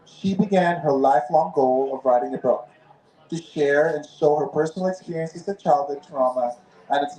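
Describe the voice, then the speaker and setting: A man gives a formal, read introduction at a book fair booth. His voice is mellow and a little high, and it echoes around an outdoor space. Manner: formal announcer delivery. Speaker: booth host introducing an author.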